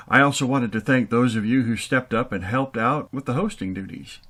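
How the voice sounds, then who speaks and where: A man's voice: fairly low pitch, a bit bright. A man playing an older, orderly radio show boss in an audio comedy skit. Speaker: show host.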